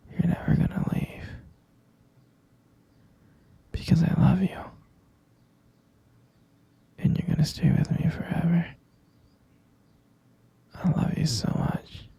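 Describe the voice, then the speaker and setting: A man playing an obsessive, possessive kidnapper in an ASMR boyfriend roleplay, cooing slow, breathy, coaxing endearments to a captive lover. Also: fairly high pitch, mellow, no echo, full character voice. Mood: soothing, obsessive. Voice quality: breathy, fry-laden murmur. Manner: slow, coaxing, saccharine pet names. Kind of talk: ASMR boyfriend roleplay, intimate close whispering.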